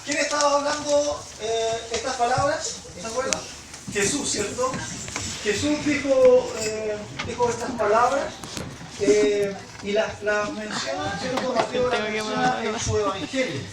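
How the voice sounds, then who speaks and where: A Chilean man preaching informally in Spanish with a Chilean accent, halting, with frequent pauses and tag questions. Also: very high voice, fairly even intonation, background noise. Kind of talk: informal sermon with prayer and scripture reading. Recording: echoey room, distant mic, loud music behind.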